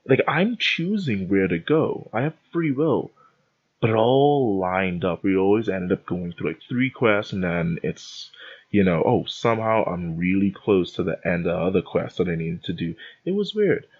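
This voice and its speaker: A man's voice: deep voice, very animated, moderate pace, breathy edge, highs cut off. A young man from the Bahamas hosting a podcast, telling a rambling, self-interrupting story in a casual rant. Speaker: podcast host.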